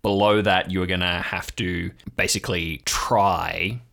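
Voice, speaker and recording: A man's voice: deep voice, steady tone, a little slow, gravelly, a bit bright. A man running an improvised audio drama game as game master, narrating in a suspenseful, descriptive way. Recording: music playing behind the voice. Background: music.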